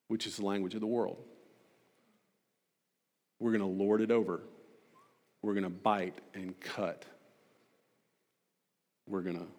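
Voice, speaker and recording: A man delivering a sermon to a congregation as a preacher, emphatic and rhetorical, building points through repetition and pointed pauses. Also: lowish voice, darkish tone. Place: large reverberant hall. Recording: long hall reverb.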